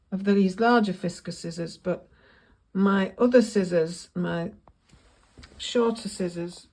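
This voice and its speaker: A woman with a croaky voice, chatting casually through her sewing work and correcting herself as she goes. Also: fairly low pitch, darkish tone. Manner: chatty, self-correcting, thinks aloud while working. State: croaky voice, coughing. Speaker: sewing vlogger. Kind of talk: casual sewing vlog narration.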